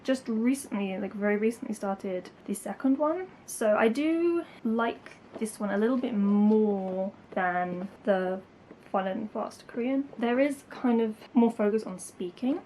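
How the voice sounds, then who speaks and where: A young woman chats casually to her camera about her studies, her talk full of likes and ums. Her voice sits at an ordinary middle pitch, recorded at home with a faint hiss underneath. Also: animated, a little slow, warm tone, low-quality recording. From England. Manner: chatty, lots of likes and ums, light laughs. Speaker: language-learning YouTuber.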